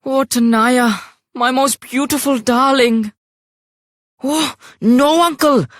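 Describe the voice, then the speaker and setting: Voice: sits high, takes it slowly, fairly bright tone, no room sound, clean studio sound. An actor voicing an earnest, devoted young male spice merchant in a video game, effusive and humbly polite, with an Indian accent. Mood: anxious, resolute. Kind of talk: video game character acting.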